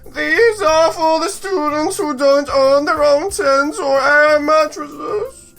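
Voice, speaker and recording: A woman voicing a helpful archaeologist with an affected, drawn-out delivery in a visual novel. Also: high voice, vocal fry, fairly bright tone, soft background music, voice pushed up, full character voice. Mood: helpful, brisk.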